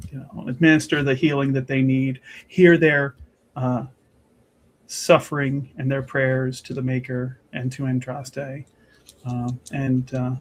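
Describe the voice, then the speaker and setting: A man playing a tabletop roleplaying game, describing what his character does in a deliberate, wry way with pauses. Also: mid-range voice, a little hiss or hum.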